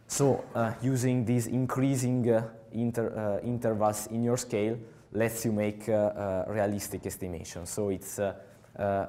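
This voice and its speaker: Young Italian-accented male speaker, slightly low voice, halting conference-talk delivery on a headset mic. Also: warm tone. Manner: halting, many filler uhs. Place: large conference hall with audience.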